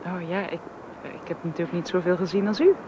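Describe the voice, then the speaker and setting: A young woman playing a gentle, deferential young woman who is fond of a child, in a naturalistic radio drama. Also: lowish voice, fairly even intonation, quick pace, close to an everyday voice. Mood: polite, curious. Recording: muffled, noisy old radio recording.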